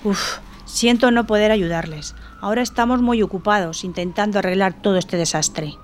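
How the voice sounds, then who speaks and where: Una mujer interpretando a una bibliotecaria agobiada, firme pero cortés, en una ficción sonora. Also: female voice, mid-range voice, moderate pace, a little hiss or hum, natural voice. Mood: harried. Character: harried, firm but courteous female librarian. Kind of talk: audio drama acting.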